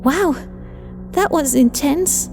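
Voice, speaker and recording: A woman voicing a composed female scientist running an experiment, formal and measured, in a horror story. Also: high voice, darkish tone, pitched above the performer's own voice, heavily acted. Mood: shaken. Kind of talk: horror audio fiction. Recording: long reverb, clear music behind.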